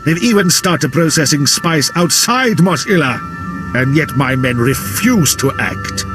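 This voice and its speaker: A haughty game villain, an Imperial officer with a fairly high male voice. He talks down to everyone with pompous disdain and expects to be obeyed, while music plays clearly behind him. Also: expressive, unhurried, fairly bright tone. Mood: sneering, indignant. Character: haughty, contemptuous Imperial military officer barking orders. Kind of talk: video game cutscene acting. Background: game score.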